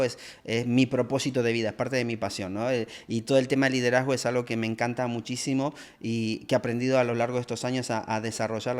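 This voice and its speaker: A man's voice: mid-range voice, clean tone. A middle-aged man from Argentina, a leadership coach answering interview questions in a reflective way, with many fillers and tag questions.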